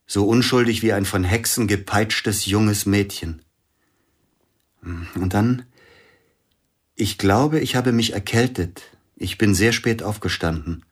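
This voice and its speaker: Radio drama casting: an older grieving pianist, very deep, husky, brooding, hushed. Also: male voice. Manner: brooding, drops to hushed near-whispers. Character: grieving older male pianist, bitter, suspicious. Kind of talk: radio play acting.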